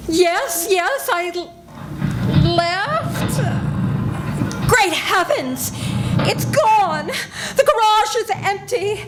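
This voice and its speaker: A woman's voice: very high voice, lively intonation, drawn-out words, full character voice. An older woman reading the part of a panicky, pleading woman hunted by a killer in a live old-time radio play. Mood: frightened. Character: terrified woman targeted by a killer. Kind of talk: 1940s radio drama reading. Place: senior center event room.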